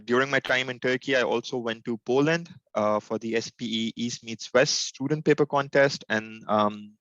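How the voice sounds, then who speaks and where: A man's voice: on the low side, fairly bright tone, dry recording, muffled recording. Young man from Pakistan giving a webinar talk. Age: young adult. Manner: informal, humble, frequent fillers and restarts. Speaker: presenter. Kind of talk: webinar presentation. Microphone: video call.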